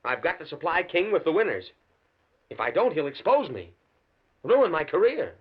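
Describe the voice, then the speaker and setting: An actor playing a nervous, principled professor, hesitant and earnest, in the style of a 1940s radio thriller. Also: male voice, on the high side, very animated, normal speed, slightly rough. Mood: distressed. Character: nervous, principled male college professor being blackmailed. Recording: dull, lo-fi old radio recording.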